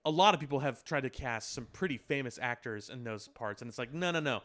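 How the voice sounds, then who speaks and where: A man's voice: mid-range voice, lively intonation, brisk, a bit bright. A man with a mid-range voice talks casually as a podcast co-host. He is emphatic and tends to restate his points when he agrees, in an informal pop culture discussion.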